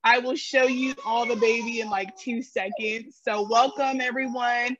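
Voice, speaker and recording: A woman from Stockton, a program coordinator, dropping into a video call in a hurried, apologetic way. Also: a little high, fairly even intonation, fairly bright tone, no echo. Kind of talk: brief drop-in greeting on a video call. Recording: dull video-call audio.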